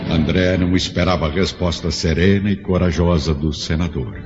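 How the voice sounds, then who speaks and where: A man with a Brazilian accent reading solemn, measured, dramatic narration for a radio drama. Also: low voice, steady tone. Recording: long reverb, dull lo-fi, faint music.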